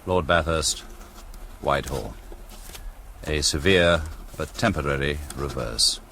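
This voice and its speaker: An actor in a radio drama playing a grave British army commander, formally dictating a dispatch in a measured, stiff manner. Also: male voice, extremely low pitch, bright, forceful tone, slight background noise, heavily acted. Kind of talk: BBC radio drama acting.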